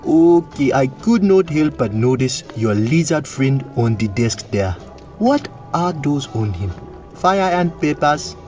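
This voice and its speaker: A man's voice: medium pitch, very animated, takes it slowly, darkish tone. A man playing a proud but easily flustered young prince in an audio drama. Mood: earnest. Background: music.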